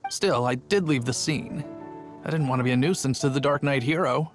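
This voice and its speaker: A man's voice: medium pitch, brisk, soft background music, natural voice. An actor playing a chatty, earnest young male town guard telling his tale in a video game. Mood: dramatic, earnest. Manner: storytelling, earnest.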